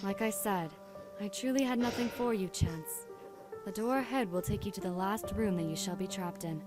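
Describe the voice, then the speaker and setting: A woman voicing a solemn spirit guarding memories in a visual novel game, speaking in a grave, measured way. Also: medium pitch, over music, lightly acted. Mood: grave, resigned. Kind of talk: scripted visual novel voice acting.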